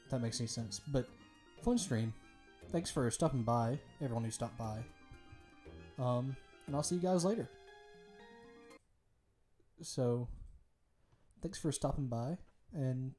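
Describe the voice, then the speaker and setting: A man's voice: medium pitch, dark tone. A man streaming a game, talking with lots of fillers, his voice strained from hours of reading dialogue aloud.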